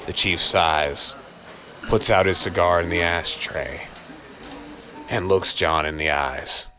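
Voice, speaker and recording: A man narrating a scripted noir horror story in a deliberate, dramatic way. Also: deep voice, sharp tone. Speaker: narrator and voice actor. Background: faint music.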